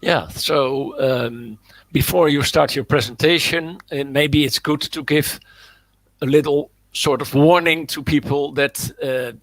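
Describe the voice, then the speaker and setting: A man's voice: a little high, fairly even intonation, a little slow, fairly bright tone, no room sound, poor audio. A man hosting a webinar as a coach, speaking hesitantly with many fillers over a video call. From the Netherlands.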